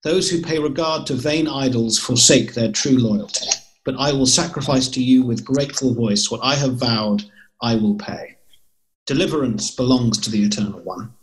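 A man's voice: medium pitch, moderate pace, natural voice, low-quality recording. A man in his thirties playing an earnest prophet, in a solemn dramatised scripture reading. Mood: solemn pleading. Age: 30s. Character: Jonah, earnest distressed prophet in prayer. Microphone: video call.

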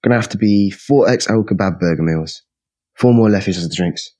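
A very low-pitched young lad played by a man for a gritty audiobook drama. He is cocky and blunt, swaggering and swearing through his lines. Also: fairly quick, dark tone, natural voice. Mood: brash, irritated. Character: brash, cocky young lad, loud and tipsy. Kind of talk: full-cast audiobook acting.

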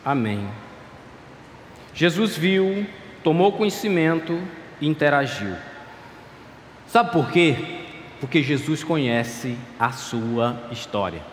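A pastor in his thirties preaching a sermon at a pulpit, passionate and pleading, dropping to quiet asides. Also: a little high, lively intonation, slow pace, long pauses, a little hiss or hum. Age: 30s. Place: large dark church stage at a pulpit. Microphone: stand mic at a clear pulpit. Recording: echoey church hall, faint ambience.

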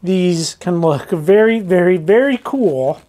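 Woman, slightly low pitch, informal vlogger, casual hands-on demonstration, thinking aloud. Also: lively intonation, slow, warm tone. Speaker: rockhounding vlogger.

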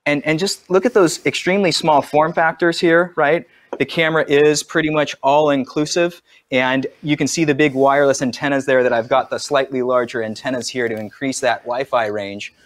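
A man in his forties giving an energetic, conversational product demonstration from a home studio over a video call. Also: medium pitch, normal speed, few pauses. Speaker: camera company trainer.

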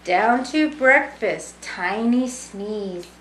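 A woman's voice: medium pitch, expressive, very slow, fairly bright tone. A woman in her thirties doing a storytime read-aloud, talking simply to young children. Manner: simple, child-directed talk with tag questions. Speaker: student volunteer reading a picture book to children. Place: small room with brick wall, indoors. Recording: echoey room with background noise.

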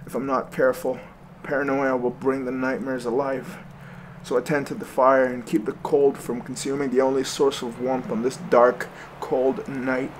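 A man's voice: mid-range voice, little pitch movement, normal speed, a little hiss or hum, lightly acted, poor audio. An actor playing a wary young man who narrates in first person, hesitant and full of ums, haunted by nightmares. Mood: uneasy. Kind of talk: audio drama narration.

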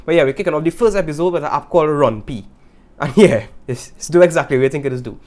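Vlog host, young trini guy, quick talk, raised voice, lively, gestures and laughs. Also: on the high side. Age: young adult. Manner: animated, gesturing, laughing asides. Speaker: YouTube host. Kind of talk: casual vlog talk to camera. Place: small indoor room with guitars.

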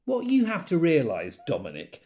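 A man's voice: on the high side, huge pitch swings, normal speed, darkish tone. An actor playing a pedantic, prickly, indignant man arguing pompously in an audio drama. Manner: pompous, argumentative.

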